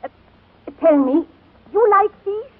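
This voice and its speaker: High-pitched blunt foreign cook, vintage radio. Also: female voice, lively intonation, slow, exaggerated voice. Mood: softened, friendly. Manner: clipped, blunt, broken English phrasing. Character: blunt, grumpy but kind-hearted foreign woman cook. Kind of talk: 1940s radio comedy acting. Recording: tinny 1940s radio recording.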